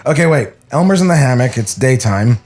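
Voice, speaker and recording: Man playing weary cartoon director, sincere, hesitant, widely varied pitch, faint music underneath. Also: animated, brisk, a little mellow, natural voice. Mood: animated pitching. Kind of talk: acted screenplay table read.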